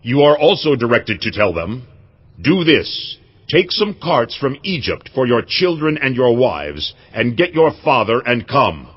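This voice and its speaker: An actor playing a commanding, generous male king in a dramatized audio Bible, with a husky voice and regal delivery. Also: medium pitch, big pitch swings, measured pace. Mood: commanding, magnanimous. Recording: slightly dull, muffled audio.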